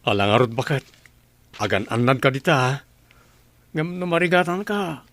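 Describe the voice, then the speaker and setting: A man's voice: medium pitch, animated, moderate pace, fairly bright tone, slight background noise. An actor playing a troubled husband and father in a village radio drama, speaking in a weary, emotional way.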